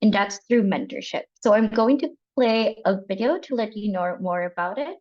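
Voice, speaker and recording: A woman's voice: mid-range voice, normal speed, a little mellow. A woman hosting an online workshop who thanks people and sometimes restarts mid-sentence. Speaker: workshop host. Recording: muffled lo-fi audio.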